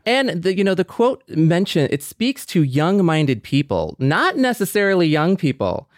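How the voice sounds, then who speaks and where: A middle-aged man co-hosting a podcast, speaking in a measured casual way with many affirming murmurs. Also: high-pitched, sing-song, no room sound, studio quality. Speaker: podcast co-host.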